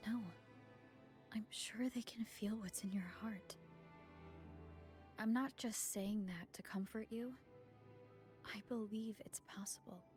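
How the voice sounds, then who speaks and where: Actress as kind young woman adventurer, game cutscene: dark mellow tone, soft, reassuring, music beneath. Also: medium pitch, fairly even intonation, slow pace, pauses often, natural voice. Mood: reassuring, sincere. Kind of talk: video game voice acting. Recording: background music under dialogue.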